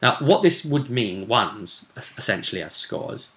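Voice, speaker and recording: Bright-voiced man recapping a tabletop game. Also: fairly low pitch, very animated, measured pace. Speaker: game master recapping a tabletop role-playing session. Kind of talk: informal game recap and rules explanation.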